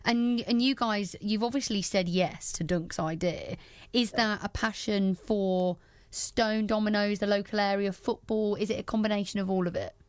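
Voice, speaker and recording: A woman's voice: medium pitch, steady tone, normal speed, clean tone, dry recording. Woman radio presenter, close dry sound. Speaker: radio presenter interviewing guests. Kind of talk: local radio sports interview.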